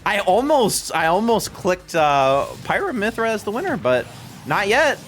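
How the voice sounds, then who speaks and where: A young man hosting a game stream, giving lively, excitable play-by-play and laughing often with his co-host. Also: high-pitched, normal speed, faint music behind. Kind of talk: casual game commentary.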